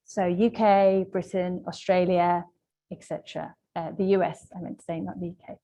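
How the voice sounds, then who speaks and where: A woman presenting a webinar lesson as a language trainer, explaining points in a polite, measured, semi-scripted way. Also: lowish voice, level tone, dark tone.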